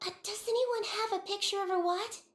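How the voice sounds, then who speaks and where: Very high-pitched, childlike nurse girl. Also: fairly even intonation, fairly quick, reverberant, a little hiss or hum. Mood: gentle, polite. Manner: polite, gentle, attentive. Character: small, gentle, polite, caring head nurse girl. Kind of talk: video game voice acting.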